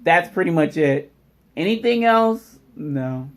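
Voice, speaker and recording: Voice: mid-range voice, lively intonation, slow. A vlog host chatting casually and informally to viewers. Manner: informal, addresses viewers as y'all. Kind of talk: casual unboxing vlog commentary.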